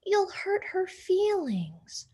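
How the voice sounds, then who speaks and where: A woman puts on a meek younger sister from a children's storybook. She is sweet and excitable, with a high voice that swings widely and is easily alarmed. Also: drawn-out words, full character voice. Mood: gentle pleading.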